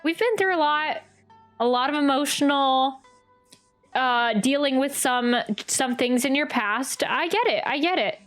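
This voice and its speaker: Female streamer, high-pitched, casual motherly reaction chat with repeated phrases, light music underneath. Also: lively intonation, measured pace, a bit bright. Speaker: game streamer. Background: game music.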